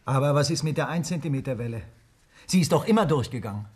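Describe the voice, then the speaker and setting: A man playing a brisk, sceptical scientist in a 1950s German radio drama, with a pointed, questioning manner. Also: mid-range voice, animated, darkish tone, husky. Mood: probing. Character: brisk, sceptical male scientist probing a theory. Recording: vintage 1950s radio play recording.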